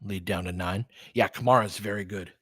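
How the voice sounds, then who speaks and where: A man's voice: lowish voice, fairly even intonation, fairly quick, husky, no room sound, studio quality. A man giving live basketball play-by-play and casual analysis as a candid, colloquial fan commentator who reacts openly and swears.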